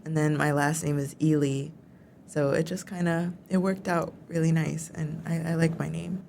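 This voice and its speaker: A young woman from the United States with a notably low voice for a woman. She chats in an easygoing way, laughs easily, and fills her sentences with "like" and "you know". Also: fairly even intonation, vocal fry, darkish tone, slight background noise. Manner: easygoing, quick to laugh, many fillers. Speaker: guest teacher. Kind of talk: casual chat.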